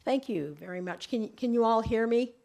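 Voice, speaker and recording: Brief: middle-aged woman, light voice, halting presenter who repeats words, conference room talk. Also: fairly high pitch, vocal fry, a little mellow. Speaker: invited speaker at a webinar.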